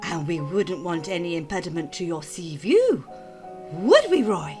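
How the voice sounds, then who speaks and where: A woman's voice: fairly low pitch, very animated, a little slow, a little mellow, soft background music. A woman playing a tipsy, sarcastic socialite wife in an audio drama, mocking and theatrical as she needles her husband. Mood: bitter, sarcastic. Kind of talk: amateur audio drama acting.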